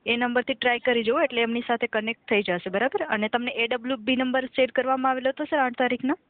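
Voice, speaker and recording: Fast-talking woman on a phone line. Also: fairly high pitch, steady tone, no room sound. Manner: formal, courteous, often checks for agreement. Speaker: customer care agent. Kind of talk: scripted, polite customer service phone talk. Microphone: telephone call.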